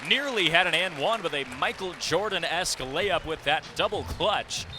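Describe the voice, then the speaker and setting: A man's voice: a little high, expressive, normal speed. A young man calling live basketball play-by-play for radio, describing the action vividly as his voice jumps with the plays. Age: young adult. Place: courtside broadcast in a basketball arena. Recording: loud arena noise, bouncing ball behind. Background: basketball game ambience, ball bounces.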